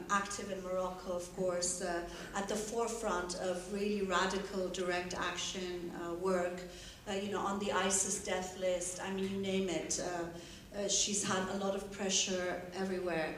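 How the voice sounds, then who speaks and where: A woman moderating a panel who puts her questions hesitantly, with many "uh"s. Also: mid-range voice. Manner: hesitant, frequent "uh". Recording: echoey hall, distant mic, faint hum.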